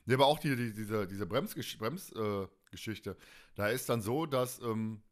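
A man's voice: lowish voice, moderate pace. A man chatting casually as a podcast co-host, retelling things in colloquial language.

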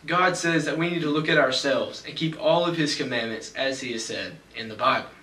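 A young man preaching a sermon from a church pulpit, reading scripture slowly and deliberately. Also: medium pitch, noisy background. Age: young adult. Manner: measured, slows for scripture reading. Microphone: pulpit mic, far camera. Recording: echoey church from far off.

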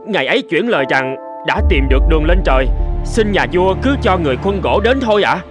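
A man's voice: sits high, lively intonation, fairly quick, over music. A man voicing a deferential royal messenger in a folk-tale cartoon, humble and formal. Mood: respectful. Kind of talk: Vietnamese cartoon dubbing.

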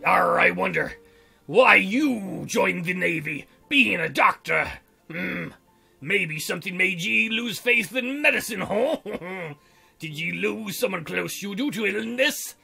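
A man acts out a dashing, sly pirate from a visual novel. He drawls with long arrs and teases as he probes, in a bright voice over music. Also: high voice, lively intonation, creaky, slightly rough, full character voice. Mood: sly, probing. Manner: stock pirate drawl with drawn-out "arr". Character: sly, dashing male pirate captor, probing and teasing.

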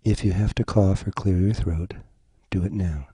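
Low, husky man guiding a meditation. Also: fairly even intonation, normal speed, mellow. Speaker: guided meditation leader. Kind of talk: slow guided meditation narration.

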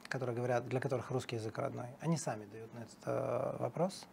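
A man's voice: medium pitch, steady tone, quick pace, gravelly, a little mellow, slight background noise. A middle-aged man, a political analyst guest in a TV studio interview, talking emphatically with fillers and restarts, on a lapel mic.

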